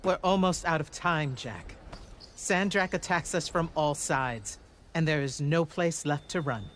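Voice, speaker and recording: An actor playing a commanding male battlemage mentor in a video game, speaking in a measured, grave, explanatory way. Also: a little high, a bit bright, slight background noise. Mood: urgent, resolute. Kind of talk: cinematic game dialogue.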